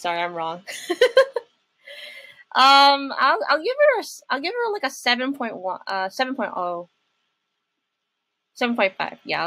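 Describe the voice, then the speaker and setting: A woman's voice: mid-range voice, a bit bright, no room sound. Young woman doing a casual game reaction, rambly and giggly, pretty dry room sound. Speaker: reaction video host.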